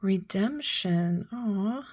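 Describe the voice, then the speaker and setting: A woman unboxing and reviewing a tarot deck, making soft, admiring remarks as she turns the cards. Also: mid-range voice, lively intonation, extremely slow. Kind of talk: unboxing commentary.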